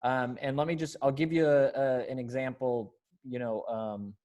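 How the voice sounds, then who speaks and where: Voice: mid-range voice, fairly even intonation, normal speed, darkish tone. American theater director, casual and encouraging. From Springfield, Missouri, United States. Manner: hesitant, encouraging. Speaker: readers theater director and announcer. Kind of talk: casual coaching over a video call.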